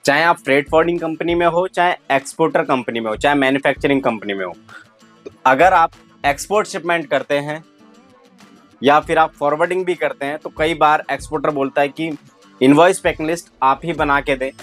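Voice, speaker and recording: Male trainer, Indian accent, voice on the higher side, step-by-step tutorial delivery peppered with English trade terms, music bed underneath. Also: a little fast, fairly bright tone. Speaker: freight forwarding trainer. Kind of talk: instructional tutorial explanation.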